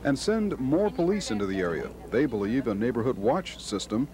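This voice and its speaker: A man's voice: mid-range voice, big pitch swings, normal speed, a little mellow. An American man narrating a scripted television news field report.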